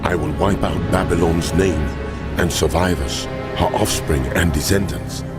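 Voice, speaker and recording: An actor playing God as a mighty, wrathful lord, solemn and deliberate, in a dramatized scripture recording. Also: male voice, sits low. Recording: loud music under the voice.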